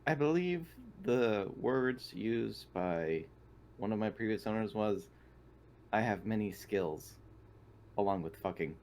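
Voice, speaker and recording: A man's voice: fairly low pitch, lively intonation, slow pace, darkish tone, slight background noise. A man playing a polite, literal-minded android in a tabletop roleplaying game, speaking in formal, wordy, clinical phrases. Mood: deadpan. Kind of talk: in-character tabletop roleplay over online game.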